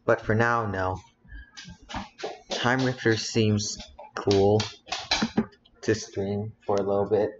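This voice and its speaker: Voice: mid-range voice, fairly even intonation, slow pace, long pauses, lo-fi sound, over music. A streamer chatting casually during a game stream, thinking aloud and muttering asides while browsing.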